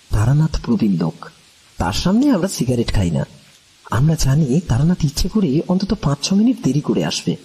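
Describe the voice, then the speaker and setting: A man's voice: a little high, warm tone, breathy edge, poor audio. A man narrating a first-person story in a dramatic audio storytelling style.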